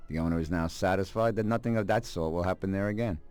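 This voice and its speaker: A man plays a refined mafia consigliere in a crime game. His low voice is measured and formal, cautious in its phrasing, with faint music underneath. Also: darkish tone, clean tone, lightly acted. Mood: smooth, explanatory. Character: cautious, refined, articulate mob advisor. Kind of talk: dramatic video game cutscene acting.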